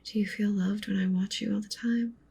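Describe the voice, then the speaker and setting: A woman playing a doting, possessive yandere wolf witch girlfriend in an intimate, breathy whispered ASMR roleplay. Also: steady tone, a little fast, mellow, slight background noise, close to an everyday voice. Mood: adoring, intense. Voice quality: breathy whisper with low creaky murmur. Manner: intimate, tender, clingy, coaxing.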